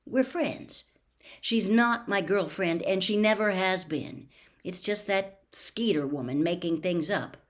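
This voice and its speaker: A woman's voice: mid-range voice, unhurried, natural voice. A woman voicing a polite, frank teenage schoolboy in a storybook, casual and plain-spoken. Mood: frank, earnest.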